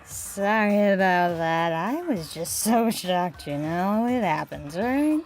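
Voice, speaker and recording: A woman's voice: on the low side, lively intonation, takes it slowly, faint music behind. A woman voicing a manic, giggling teenage killer girl with an unhinged, erratic manner in an anime game fan dub. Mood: manic glee.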